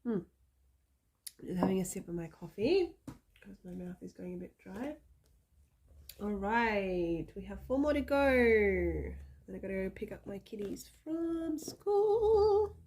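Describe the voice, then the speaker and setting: Slow-talking woman with a gravelly voice. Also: medium pitch, sing-song, a little mellow, slight background noise. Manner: chatty, many ums, gushing. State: slightly hoarse from a cold. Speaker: psychic reader showing tarot decks. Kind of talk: casual show-and-tell chat.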